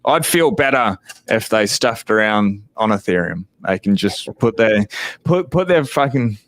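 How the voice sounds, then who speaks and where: Man, low-pitched, smooth clear voice, dry close mic, rambling casual talk. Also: expressive, a little slow, fairly bright tone, dry recording. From Australia. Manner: rambling, laid-back, swears casually. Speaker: livestream host. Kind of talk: casual chat.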